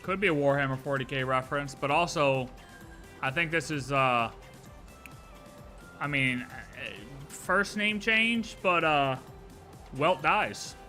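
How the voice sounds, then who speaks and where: A man streaming live and reacting to a game story, casual and joking with loud outbursts. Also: fairly high pitch, slow pace, pauses often, soft background music.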